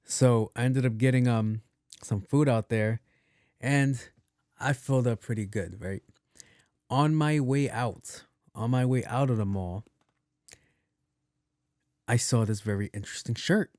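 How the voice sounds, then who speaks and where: Soft-voiced man, mellow and dry. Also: measured pace, pauses often, no room sound. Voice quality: soft, not deep. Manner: loose and profane, with many restarts and repeats. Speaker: podcast host. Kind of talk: casual rambling monologue.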